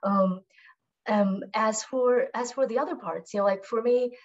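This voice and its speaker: A woman's voice: on the high side, unhurried, dull audio. Woman from Taiwan on a video call. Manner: thoughtful, many fillers and restarts. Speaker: novelist. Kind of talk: panel answer on video call. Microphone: laptop webcam mic.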